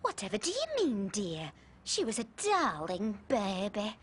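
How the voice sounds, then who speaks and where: A woman's voice: medium pitch, huge pitch swings, a little slow, fairly bright tone, a little hiss or hum. A woman voicing a self-pitying but kindly old fairy godmother in a cartoon, huffy and fussy. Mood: concerned.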